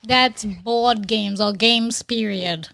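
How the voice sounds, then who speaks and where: Female co-host, Trinidad-born, easygoing slow chat, dry close mic. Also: medium pitch, creaky, a bit bright, dry recording. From Trinidad and Tobago. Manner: laid-back, denies teasing with short replies. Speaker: podcast co-host. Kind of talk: casual chat.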